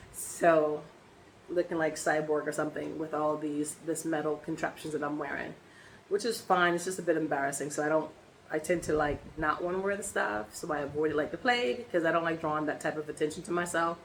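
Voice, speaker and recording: A woman with a hoarse voice from laryngitis, chatting casually in a personal vlog and rambling with many ums and restarts. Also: low-pitched, a little fast, a little hiss or hum, poor audio. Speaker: vlogger.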